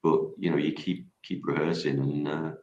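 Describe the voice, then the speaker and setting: A man with an extremely deep voice talks casually about singing, modest and full of restarts. The sound is roomy and a little dull, like a studio with hard walls. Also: flat delivery, moderate pace, darkish tone, slight background noise. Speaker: guest singer. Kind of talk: casual radio chat.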